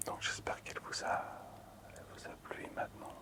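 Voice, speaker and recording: Whispering man, soft ASMR host. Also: fairly quick. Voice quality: breathy, unvoiced whisper. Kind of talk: whispered ASMR presentation of collectible cards.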